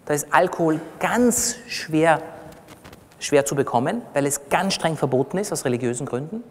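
A man's voice: fairly high pitch, animated, normal speed, slight background noise. A middle-aged man with an Austrian accent gives an explanatory, conversational lecture, teaching plainly and checking in with short tag questions. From Austria, born in Iran. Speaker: physician giving a lecture. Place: on stage in a large congress hall. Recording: reverberant large hall.

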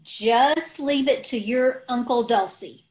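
Woman playing a slow-talking, scatterbrained wife. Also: a little high, a little mellow. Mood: innocent, breezy. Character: scatterbrained, guileless wife who misunderstands everything. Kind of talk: old-time radio comedy acting. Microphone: video call.

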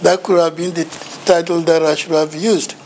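A man's voice: on the high side, level tone, normal speed, darkish tone, a little hiss or hum. A middle-aged man with a Ghanaian accent giving a seminar lecture in a lecture room, telling his story with self-mocking humour. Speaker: visiting university lecturer. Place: lecture room in front of a projection screen.

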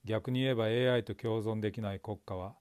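A man's voice: fairly low pitch, fairly even intonation, very fast, darkish tone, clean tone, dry recording, studio quality. A middle-aged man, an actor, giving a dramatic reading of a short story indoors. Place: indoors in a small curtained space.

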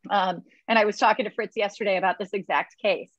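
A woman's voice: medium pitch, steady tone, fast talker, dry recording. Chatty woman on a muffled video call. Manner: chatty, many fillers, candid. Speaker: state representative. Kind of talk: virtual town hall discussion.

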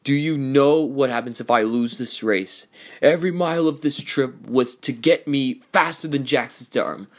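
Cartoon race car, acted by a man at an amateur audition: young male, cocky, excitable, emphatic, mid-range pitch. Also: lightly acted. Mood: frustrated. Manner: theatrical, emphatic.

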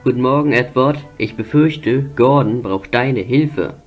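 A man's voice: medium pitch, measured pace, dull audio, faint music behind, voice pushed up, lightly acted. A man playing a stern railway director with a clipped, authoritative manner in a cartoon fan dub. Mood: commanding.

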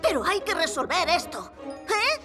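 A very high, animated cartoon boy superhero with a Castilian accent , acted by a woman. He is eager and headstrong, bursting into exclamations, with clear music behind him. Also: moderate pace. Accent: Castilian Spanish. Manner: excitable, self-assured, exclamatory.

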